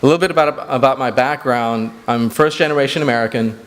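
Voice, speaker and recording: A man's voice: mid-range voice, fast talker. Man giving a speech in a reverberant hall. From Sri Lanka. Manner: earnest, with frequent fillers and restarts. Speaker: executive director. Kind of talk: banquet speech. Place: large banquet hall.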